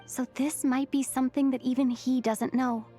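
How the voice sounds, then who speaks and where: A woman's voice: high-pitched, fairly even intonation, moderate pace, dark tone. A woman voicing an earnest, thoughtful young female traveler in a video game cutscene, with a sincere, pensive delivery. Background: background music.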